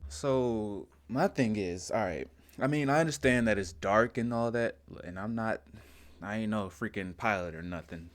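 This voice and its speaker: A man's voice: lowish voice, normal speed. A man hosting a reaction video, commenting casually in colloquial speech with an incredulous manner, repeating himself for emphasis.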